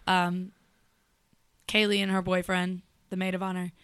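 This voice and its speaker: A woman's voice: lowish voice, completely flat delivery, measured pace, a bit bright, smooth, no room sound, clean studio sound. A young woman co-hosting a podcast, telling candid, chatty stories about her plans in a casual chat. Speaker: podcast co-host.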